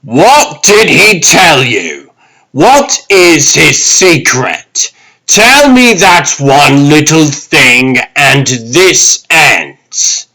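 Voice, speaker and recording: A man voicing a sadistic, menacing interrogator in a comic-book dub, speaking with slow threat. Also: fairly high pitch, big pitch swings, pushed and bright, voice pushed up, heavily acted, poor audio. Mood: harsh demanding.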